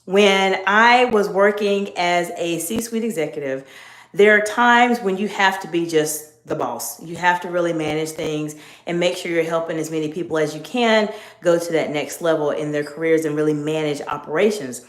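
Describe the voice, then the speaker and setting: A middle-aged woman coaching viewers on leadership in a live stream, speaking in a measured, emphatic teaching tone. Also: lowish voice. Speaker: executive coach. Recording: echoey room.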